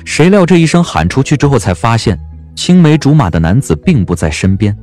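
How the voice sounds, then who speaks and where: A man narrating an audio novel as scripted dramatic storytelling, with a measured, expressive literary delivery. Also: mid-range voice, faint music behind.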